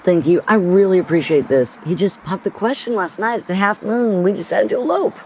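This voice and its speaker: A woman's voice: mid-range voice, expressive, fairly quick, darkish tone, natural voice. A breezy, chatty tour guide played by a woman in an audio drama. Her voice bounces up and down as she encourages, with faint traffic behind her.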